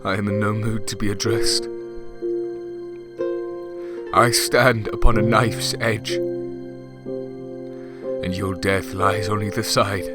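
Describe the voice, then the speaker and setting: Grim fantasy king, brooding, merciless, slow dramatic delivery, loud cello-like strings behind. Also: on the low side, flat delivery. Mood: menacing, despairing. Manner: brooding, formal, archaic speech. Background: bowed string music.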